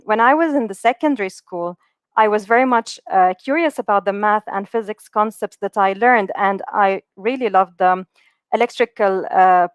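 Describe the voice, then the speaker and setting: Earnest woman speaker, smooth dry voice. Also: medium pitch, no echo. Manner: many fillers and restarts, earnest. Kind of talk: motivational webinar talk.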